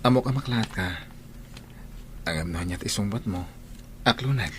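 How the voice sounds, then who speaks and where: A man's voice: lowish voice, animated, moderate pace, fairly bright tone, a little hiss or hum. A man playing an earnest, devoted young suitor in Ilocano radio drama style, with an Ilocano Filipino accent. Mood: pleading. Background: music.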